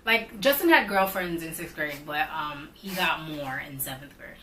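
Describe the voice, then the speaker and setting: A woman's voice: low-pitched, normal speed, a little hiss or hum. A young woman co-hosting a couple's vlog, telling a storytime casually and animatedly, with lots of "like". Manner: animated, heavy use of "like", rising excitement. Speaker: vlog co-host.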